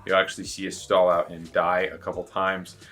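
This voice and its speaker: A man with a low-pitched but bright, punchy voice talks casually to his viewers. He rambles along in a chatty way, explaining things like a rally driver hosting his own video. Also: monotone, fairly quick.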